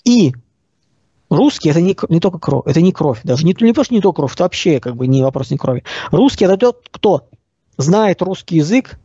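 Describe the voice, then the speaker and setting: A man with a high but soft-edged voice delivers political commentary. He explains things didactically and emphatically, breaking points into clipped phrases. The sound is close and dry, slightly dulled. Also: very animated, brisk, breathy edge, no room sound, highs cut off. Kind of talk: opinionated talk, explaining policy.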